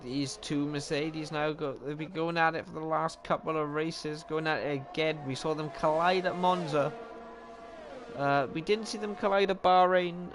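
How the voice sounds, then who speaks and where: A man's voice: fairly high pitch, moderate pace. A young Welsh man giving live, chatty commentary on an online racing league, often joking at his own expense and correcting himself. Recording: steady background noise.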